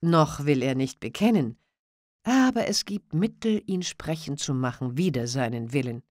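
A woman with a fairly low voice reads classic literature aloud. Her narration is measured and expressive, recorded close and dry with no room sound. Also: dry recording. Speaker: audiobook narrator.

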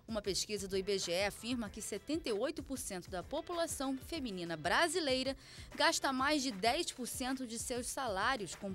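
A young Brazilian woman presents a TV news piece in an upbeat, scripted tone. Her voice sits high. Faint music runs under her. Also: fairly bright tone. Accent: Brazilian Portuguese. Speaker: TV reporter. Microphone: handheld mic.

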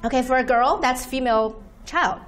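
A woman whose first language is Chinese, giving a university lecture from a podium and explaining terms slowly with many "okay"s. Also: high voice. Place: lecture room, standing at podium by projector screen.

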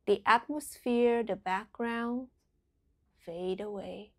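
Slow, hushed female meditation guide. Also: medium pitch. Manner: soothing, deliberate phrasing with breathy whispered endings.

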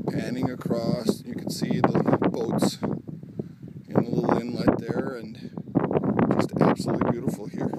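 A man's voice: medium pitch, vocal fry. A man narrating his own home video casually, in a laid-back, understated way, outdoors on a handheld camera. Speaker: amateur sailor filming home videos. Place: outdoors on a sailboat and lakeshore. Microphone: handheld camera microphone. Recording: wind-battered handheld camera, boat noise.

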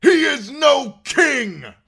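A man's voice: very high-pitched, very animated, extremely slow, vocal fry, bright, forceful tone, voice pushed up, heavily acted. A man voicing a solemn knight turned fiery zealot, crying out fervent, declamatory curses in an audiobook story. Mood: fanatical fury.

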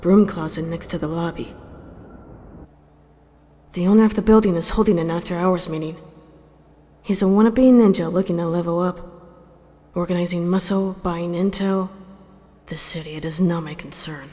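A woman's voice: lowish voice, normal speed, long pauses, long reverb tail, close to an everyday voice. A woman voicing a loyal, confident female arctic fox ninja in clipped, hard-boiled comic narration. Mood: cool, businesslike. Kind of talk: comic dub, first-person scripted narration. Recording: loud music behind the voice. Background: music.

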